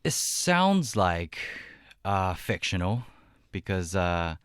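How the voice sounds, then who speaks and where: A man chatting casually as a quiz panelist, answering in a hesitant, halting way. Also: deep voice, sing-song, a bit bright, clear, resonant tone, dry recording, clean studio sound. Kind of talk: casual chat.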